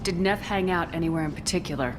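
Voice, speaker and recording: A resolute female commander, voiced by a game actress. Her voice is low, controlled and direct, with a businesslike manner. There is clear noisy ambience in the background. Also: quick pace, lightly acted. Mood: probing. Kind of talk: dramatic video game acting.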